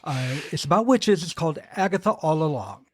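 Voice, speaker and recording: Male podcast co-host, everyman; wry, relaxed, a touch high, dry studio sound. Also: a little fast, dry recording. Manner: wry, self-deprecating, relaxed storytelling. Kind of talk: casual podcast chat.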